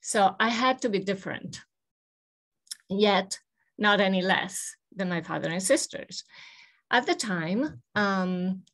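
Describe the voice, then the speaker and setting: An older woman from Italy recounting her path into science on a video call, thoughtful and measured, with frequent hesitant pauses. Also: mid-range voice, a bit bright. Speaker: guest speaker. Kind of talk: personal history talk on a video call. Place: home office with bookshelves, indoors. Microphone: video call webcam.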